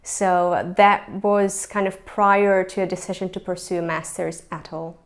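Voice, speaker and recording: A woman's voice: on the low side, steady tone, a little slow. Hungarian woman recalling her studies. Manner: thoughtful, with many ums and restarts. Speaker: former university student. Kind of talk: casual spoken account to camera.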